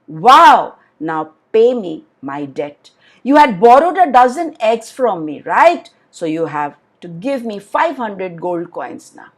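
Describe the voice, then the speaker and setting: A greedy rich merchant of a children's folk tale, acted out by a woman storyteller. He is demanding and insistent, pressing each point with emphatic, very lively pitch. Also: takes it slowly, heavily acted.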